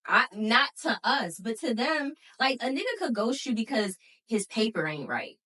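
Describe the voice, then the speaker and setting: A woman's voice: on the high side, expressive, normal speed, pushed and bright, distant mic. Twenty-something woman cohosting a podcast, blunt and slangy, sounds a bit off-mic. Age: 20s. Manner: frank and blunt, slangy, trails off and restarts. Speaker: podcast co-host. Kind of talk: casual chat.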